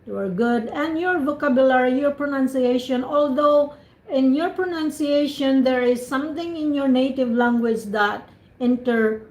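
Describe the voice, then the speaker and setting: Filipino woman tutor on a video call, fairly high voice, echoey room, talks through feedback with lots of restarts. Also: normal speed, a little mellow. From Philippines. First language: Cebuano. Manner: encouraging, explains at length with many restarts. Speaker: IELTS speaking tutor. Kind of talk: giving spoken feedback on an online call.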